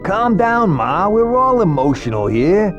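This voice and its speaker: A man's voice: sits high, big pitch swings, a little slow, muffled recording, over music. An actor playing a harried mobster son trying to manage his family in a video game. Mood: placating, bossy. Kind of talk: video game cutscene acting.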